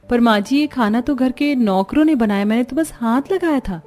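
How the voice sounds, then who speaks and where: A woman's voice: on the high side, moderate pace, darkish tone, lightly acted. A woman voicing a sweet young bride from a poor family in a cartoon. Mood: gentle.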